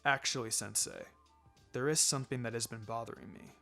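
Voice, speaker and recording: Man playing a brooding boy ninja, low and quiet. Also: a little fast, vocal fry, faint music behind. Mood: reluctant, troubled. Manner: terse, reluctant, clenched. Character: brooding, guarded young boy ninja student, easily irritated. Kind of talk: fan fiction audiobook character acting.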